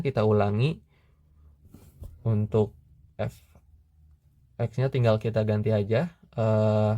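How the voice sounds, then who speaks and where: A young man with a low, mellow voice walks through spreadsheet calculations step by step. He speaks steadily and methodically, often pausing on "eh" while he works. The sound is dry and close, with no room around it. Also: dry recording. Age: young adult. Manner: steady, methodical, pauses with "eh" fillers. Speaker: student tutor. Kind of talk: screen-recorded math tutorial.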